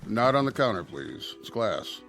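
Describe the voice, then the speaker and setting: An actor playing a polite, shrewd and businesslike pawnbroker in a radio drama. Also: male voice, fairly low pitch, big pitch swings, a little slow, fairly bright tone, faint music behind, full character voice. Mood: polite, wary.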